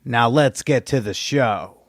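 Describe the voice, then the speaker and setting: A man hosting a podcast, talking casually in a rambling, halting way with many fillers. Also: medium pitch, no room sound, clean studio sound. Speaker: podcast host; comedian. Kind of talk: casual solo monologue.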